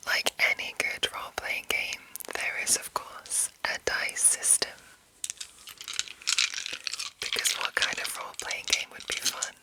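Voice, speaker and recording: A woman whispering an explanation in a breathy, rambling, unscripted ASMR style, soft and measured. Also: poor audio. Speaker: ASMR host explaining a roleplaying game.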